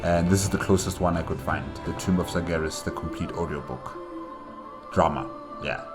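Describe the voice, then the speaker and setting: A man's voice: low voice, moderate pace. A man hosting a casual solo reaction, explaining things in a hesitant, conversational way, with faint music behind. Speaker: reaction host.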